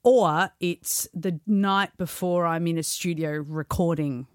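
An Australian woman, a singer, chatting on a podcast in a lively storytelling way and laughing often. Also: on the low side, slow pace, no room sound. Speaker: singer guest. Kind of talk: casual podcast chat.

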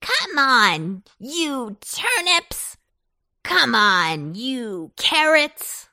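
A woman's voice: on the low side, sing-song, very slow, creaky, bright, forceful tone, no room sound, pitched below the performer's own voice, heavily acted. Woman voicing a thick, throaty, cheeky runaway pumpkin. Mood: rallying, mocking. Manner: laughing, calling out airily. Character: runaway Halloween pumpkin, male, cheeky, airy, boastful ringleader. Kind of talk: children's storybook character voice.

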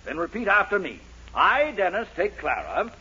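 Pompous, folksy radio mayor, hurried and easily rattled, very animated, muffled vintage broadcast. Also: male voice, sits high, husky, exaggerated voice. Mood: exasperated, flustered. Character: hurried, blustering, easily flustered small-town mayor, male. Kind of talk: 1940s radio sitcom acting. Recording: dull, slightly noisy 1940s radio recording.

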